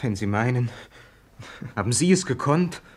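A man's voice: medium pitch, animated, moderate pace, natural voice. An actor playing a nervous, fragile, boyish young man in a 1950s German-language radio play. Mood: despairing. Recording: 1950s radio drama recording.

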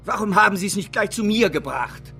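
An actor playing an imperious, volatile financier in a German radio drama, with theatrical delivery that swings from hushed to explosive. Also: male voice, high-pitched, animated, brisk, faint music behind. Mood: delighted.

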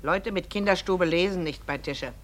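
An actress playing a sour, prim older wife in a radio play, nagging and scornful. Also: female voice, lowish voice, normal speed, lightly acted. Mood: sniffy.

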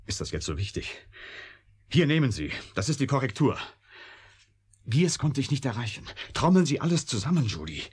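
Ein Schauspieler spielt in einem deutschen Hörspiel einen verbitterten, trotzigen Wissenschaftler mit sardonischer, eindringlicher Art. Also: male voice, medium pitch, sing-song, normal speed, long pauses, breathy edge. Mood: urgent, alarmed. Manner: sardonic, insistent, intense. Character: embittered, urgent, defiant male computer scientist. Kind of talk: German radio drama acting.

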